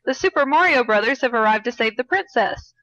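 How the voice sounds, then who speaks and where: Small male mushroom creature, read by a woman: bright, high, earnest, urgent game-world quest giver. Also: lively intonation, a little fast, no echo, lo-fi sound.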